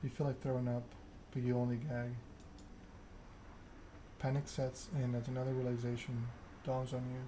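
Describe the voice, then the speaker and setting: Male ASMR narrator for a text-driven game, very even pitch, clearly noisy ambient background. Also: normal speed, pauses often. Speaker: streamer reading a game aloud.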